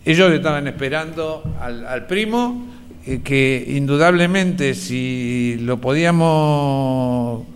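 A man's voice: medium pitch, smooth. Middle-aged Argentine man, conversational testimony, clean resonant voice, reflective delivery that stops and restarts. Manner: halting, reflective, repeating words. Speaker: interviewee, relative of a disappeared person. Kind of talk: personal testimony, conversational recollection.

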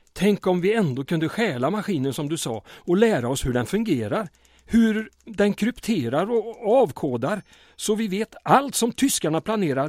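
An actor playing a small-town mayor under occupation in an audio drama, earnest but wry and weary. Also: male voice, high voice, darkish tone, dry recording, clean studio sound.

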